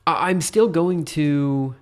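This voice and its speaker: A man's voice: fairly high pitch, slow. Stuffy-nosed man rambling through live coding. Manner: rambling, hesitant, self-deprecating. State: stuffy nose; tired. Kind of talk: casual live coding commentary, thinking aloud.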